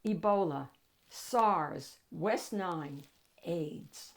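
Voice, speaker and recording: A woman narrating a children's picture book in an expressive, emphatic storytelling manner with deliberate phrasing. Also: fairly low pitch, gravelly. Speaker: storybook reader, read-aloud host.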